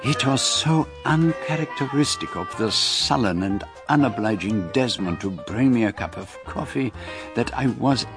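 An actor playing a courteous, shrewd gentleman detective with a French-speaking Belgian accent, precise and formal, in a radio drama. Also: medium pitch, expressive, measured pace, over music, natural voice. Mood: measured, sly. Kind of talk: radio drama narration and dialogue.

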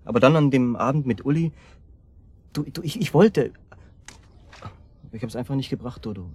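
An actor playing a self-doubting young man who speaks haltingly in naturalistic radio drama. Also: medium pitch, lively intonation, dark tone, husky. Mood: guilty, hesitant. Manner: halting, repeating himself. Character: Bernie, hesitant, self-doubting young man burdened by guilt. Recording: noticeable background noise and ambience.

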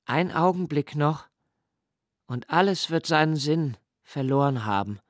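A tormented, brooding male writer in a radio play, close and dry. He speaks very slowly and haltingly, weighing each phrase and leaning hard on certain words as he confesses his dread. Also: on the high side, animated, no echo. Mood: grave, intense. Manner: slow, deliberate, halting phrasing with intense emphases. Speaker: radio drama actor. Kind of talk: literary radio play monologue.

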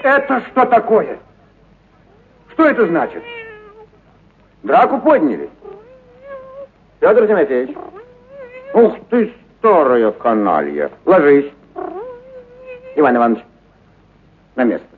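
An actor playing a kindly, eccentric animal trainer who exclaims, coaxes and repeats commands in a theatrical radio play. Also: male voice, fairly high pitch, huge pitch swings, slow, pauses often, a little hiss or hum, heavily acted. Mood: scolding. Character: kindly eccentric animal trainer, bossy yet affectionate with his beasts. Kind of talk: theatrical radio story acting. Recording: muffled narrow-band radio recording.

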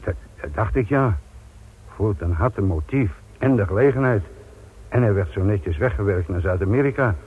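A man's voice: fairly low pitch, lively intonation, moderate pace, breathy edge. A man acting a dogged, sardonic middle-aged police inspector in a radio drama, blunt and embittered by his work. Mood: probing, sardonic. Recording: tinny narrow-band radio drama recording.

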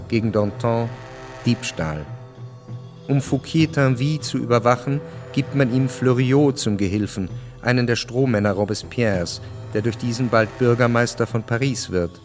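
A man narrates a radio play from a script. His voice is mid-pitched, measured and precise, with music clearly playing beneath it. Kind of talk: scripted radio drama narration in present tense. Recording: music behind the voice.

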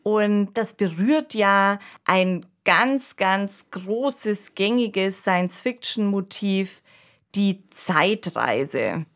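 A woman's voice: medium pitch, expressive, extremely slow. A woman co-hosting a podcast, talking enthusiastically and colloquially in a conversational discussion. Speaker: podcast co-host. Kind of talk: conversational discussion of science fiction.

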